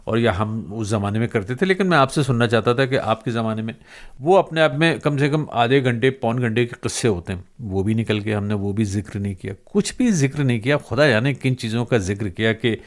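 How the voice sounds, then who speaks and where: A man's voice: medium pitch, brisk. A male interview host with a mid-range voice. He talks in a conversational way, addressing his guest with courtesy and appreciation. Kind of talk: conversational Urdu-style interview.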